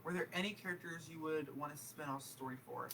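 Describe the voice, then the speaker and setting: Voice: mid-range voice, fast. A participant in a casual group chat who tosses in joking interjections. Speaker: book club participant. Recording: distant mic, clear background noise.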